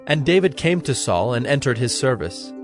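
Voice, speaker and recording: A man narrating a scripted Bible story in a formal, measured storytelling manner. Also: mid-range voice, big pitch swings. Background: music.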